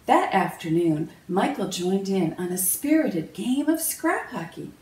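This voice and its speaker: A woman narrates a children's storybook slowly, with lively, swinging intonation. Her delivery is measured and expressive. The recording is roomy, picked up at some distance from the microphone. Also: on the low side. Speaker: author reading own picture book aloud. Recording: roomy, distant mic, faint noise.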